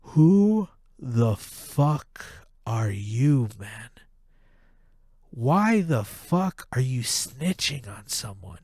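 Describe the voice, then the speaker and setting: A man with a blocked, nasal voice rants heatedly, swearing as he goes. He talks at an unhurried, drawn-out pace. The sound is close and dry, with no room echo. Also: mid-range voice, sing-song, dark tone, breathy edge. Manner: profane, heated ranting. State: stuffed-up nose, nasal. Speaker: podcast host. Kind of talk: casual ranting monologue.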